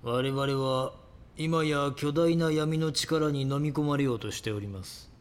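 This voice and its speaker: An actor playing a grandiose, coldly fanatical cult leader who preaches solemnly and politely in an audio drama. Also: male voice, a little high, unhurried, smooth, lightly acted. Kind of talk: Japanese audio drama acting.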